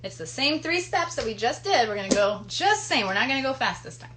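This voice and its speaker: A woman with a high voice leads children through play as a live show host. She talks to them directly, instructing and encouraging. The live-stream recording is very noisy, with clicks. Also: sing-song, a little fast. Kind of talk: interactive kids' play session, teaching and singing a hand game.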